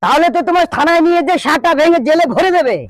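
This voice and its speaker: Man as a very fast, squeaky-high cartoon reporter. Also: loud music behind the voice. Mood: nervous. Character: cheeky, jittery male TV field reporter. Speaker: field reporter. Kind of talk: parody live news report in a comedy cartoon.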